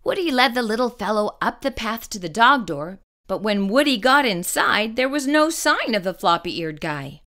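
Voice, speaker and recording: A woman storyteller reading a children's picture book aloud, expressive and carefully phrased. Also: fairly high pitch, moderate pace, few pauses.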